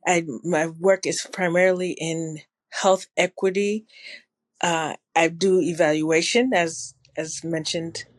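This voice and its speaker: A woman guest in her field of public health, answering interview questions in a measured, thoughtful way with a slight Ghanaian-tinged accent. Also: lowish voice, steady tone, no room sound. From New Jersey, family from Ghana. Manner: measured and thoughtful, with occasional restarts.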